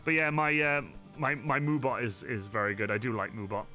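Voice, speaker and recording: Bright-voiced man streaming, swearing cheerfully. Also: medium pitch, flat delivery, fairly quick. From Wales. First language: Welsh. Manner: chatty, sweary, reads chat aloud. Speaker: cosplay streamer. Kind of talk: casual live-stream chat while crafting. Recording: faint music behind.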